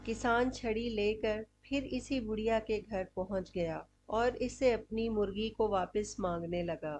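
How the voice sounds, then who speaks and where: A woman's voice: mid-range voice, measured pace, darkish tone. A woman telling a scripted moral story as narrator of a cartoon.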